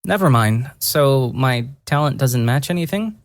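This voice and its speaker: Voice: mid-range voice, expressive, unhurried, no room sound, close to an everyday voice. A narrator voicing a bewildered male hero with no memory, wry and understated, in a fantasy audio story. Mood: wry, puzzled.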